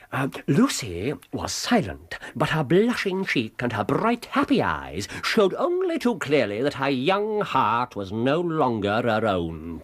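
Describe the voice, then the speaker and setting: A man's voice: mid-range voice, a bit bright, exaggerated voice. A male actor playing a brilliant, restless, scornful Victorian detective with an English accent, languid and theatrical, in a radio drama. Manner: languid, hesitant, then theatrically intense.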